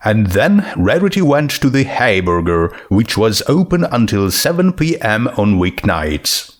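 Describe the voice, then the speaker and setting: A man's voice: fairly low pitch, big pitch swings, measured pace. A man reading a piece of comic fiction aloud as its narrator, in scripted storytelling style.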